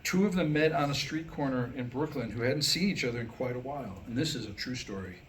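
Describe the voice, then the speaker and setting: A mature man delivering a scripted comic talk to a live audience, telling stories and jokes with deliberate pauses. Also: mid-range voice, a little hiss or hum, rough recording. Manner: storytelling, deliberate pauses, acting out dialogue in jokes. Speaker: humorist and author. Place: indoor room, live staged reading.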